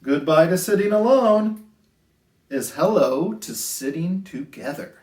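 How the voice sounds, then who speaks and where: A man hosting a children's storytime, reading picture books aloud in an expressive, sing-song way with drawn-out phrases. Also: high-pitched. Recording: roomy, echoey indoor sound.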